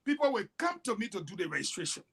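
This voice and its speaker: A man's voice: high-pitched, quick pace, crowd noise. A man, telling stories emphatically in a heated panel discussion. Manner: animated storytelling, emphatic broken sentences.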